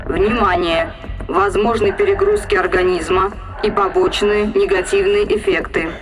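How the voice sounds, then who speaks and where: A man's voice: sits high, fairly even intonation, moderate pace, music in the background, natural voice, rough recording. An actor voicing an obedient female ship computer in a sci-fi audio drama, formal and procedural. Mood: formal announcing.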